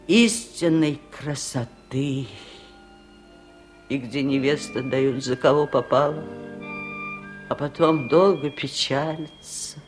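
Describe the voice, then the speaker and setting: An actress playing a wise, kind and slightly stubborn old village aunt in a 1970s radio drama, speaking slowly in a low voice. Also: female voice. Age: older.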